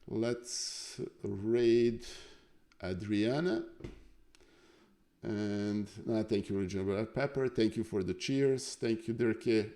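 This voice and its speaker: Low, mellow-voiced male chess streamer. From Serbia. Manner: halting, thinking aloud. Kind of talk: casual livestream chat to viewers.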